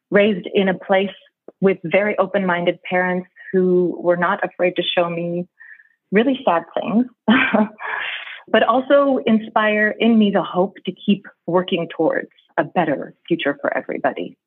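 A reflective American woman in her thirties, a guest speaking from Brooklyn roots. Her voice comes through a thin, telephone-like video call line, a little husky and very dry. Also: lowish voice, measured pace, no room sound. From New York City, Brooklyn. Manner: thoughtful, reflective, laughs easily. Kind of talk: conversational interview. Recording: tinny phone-like call line.

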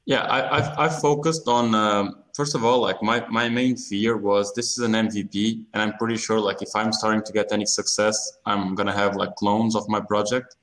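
A man's voice: on the low side, fairly even intonation, moderate pace, hardly any pauses, a bit bright, low-quality recording. A man from Portugal talks informally as an interview guest, often repeating words in stop-and-start phrases. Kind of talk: casual interview talk.

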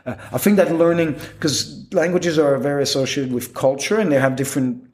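A man's voice: on the high side, brisk. A middle-aged man from Quebec with a French accent, a magician talking casually in an interview, rambling with many restarts and fillers. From Quebec, Canada. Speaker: guest, professional magician.

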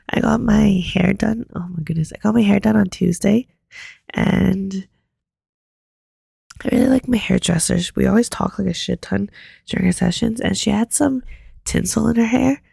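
A young woman co-hosting a casual podcast chat, hoarse as she loses her voice, laid-back and swearing casually. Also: medium pitch, moderate pace, warm tone, no room sound, studio quality. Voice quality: raspy, gravelly. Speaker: podcast co-host.

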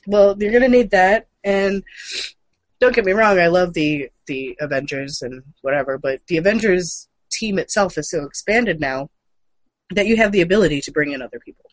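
A man with a fairly high voice hosts a casual chat show. He talks informally, with the odd mild curse. The sound is dry, with very little room to it. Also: moderate pace, dry recording, rough recording. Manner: informal, mildly profane. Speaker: show host. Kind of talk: casual co-hosted chat about gaming and comics news.

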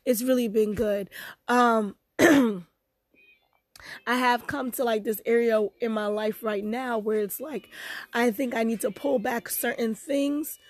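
Hoarse, higher-pitched young woman chatting. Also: fairly even intonation, slow pace. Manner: bubbly, chatty, laughs easily. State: hoarse, losing her voice with a sore throat. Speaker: host of a personal podcast. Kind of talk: casual chat.

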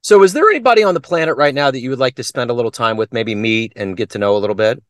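Male podcast host, upbeat questioner, expressive intonation, dry sound. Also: medium pitch, racing, no echo. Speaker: interview host. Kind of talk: interview questions.

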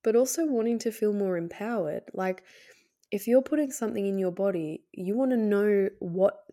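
A woman's voice: mid-range voice, lively intonation, normal speed, warm tone. A woman hosting a podcast in a casual, candid, hesitant way, her voice nasal and slightly scratchy from a cold. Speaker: podcast host. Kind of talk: casual solo podcast talk.

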